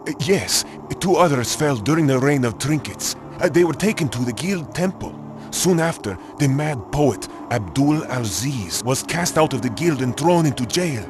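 A man's voice: medium pitch, expressive, moderate pace, over music, natural voice. An actor playing an earnest, secretive medieval townsman in a video game, speaking warily. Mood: wary. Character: wary, earnest medieval townsman bearing warnings. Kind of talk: video game cutscene acting.

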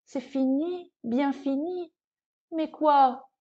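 Woman doing a sad vain little cheese in a poem, drawn out and plaintive, mellow, slightly muffled. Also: high-pitched, pitched above the performer's own voice. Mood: anxious, disbelieving. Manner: plaintive, theatrical, deliberate with dramatic pauses. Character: mournful, vain, naive cheese lamenting its cruel fate.

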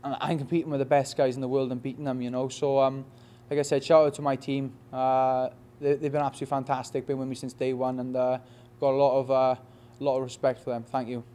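A young man with a Welsh accent in a post-fight interview, talking quickly with many restarts and "you know what I mean". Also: medium pitch, little pitch movement, dark tone. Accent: Welsh, Swansea. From Wales. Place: press backdrop indoors. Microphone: reporters' handheld mics in front.

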